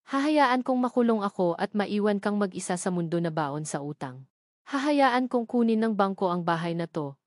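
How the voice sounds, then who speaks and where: A woman's voice: mid-range voice, dry recording, clean studio sound, close to an everyday voice. A woman voicing a desperate, ashamed middle-aged father in an audio drama story. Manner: earnest, desperate.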